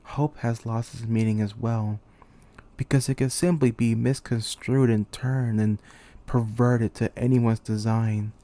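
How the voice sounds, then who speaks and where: Male, dark and creaky mid-range voice, for a weary, embittered student leader in an intense amateur drama monologue. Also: medium pitch, fairly even intonation, measured pace. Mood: despairing, questioning. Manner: brooding, intense, swearing. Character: weary, bitter young male student commander trapped in a killing game. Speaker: aspiring voice actor.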